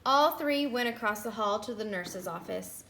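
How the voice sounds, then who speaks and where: A woman reading a children's picture book aloud like a teacher, with an animated, dramatic delivery. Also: mid-range voice, normal speed, gravelly, slight background noise. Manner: animated, dramatic delivery with emphatic nonsense words. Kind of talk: expressive children's storybook read-aloud.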